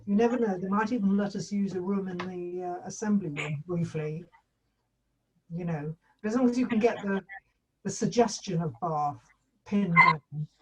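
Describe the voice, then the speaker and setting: A woman's voice: fairly low pitch, normal speed, a bit bright, husky. A woman talking casually in a meeting, offering suggestions in a hesitant way. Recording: distant, muffled microphone.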